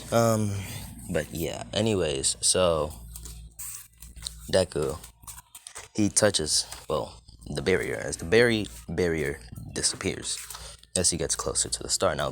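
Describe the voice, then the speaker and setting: A man narrating a fan-made story in a loose, chatty way, swearing casually and drifting into side remarks, with a scratchy voice. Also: very deep voice.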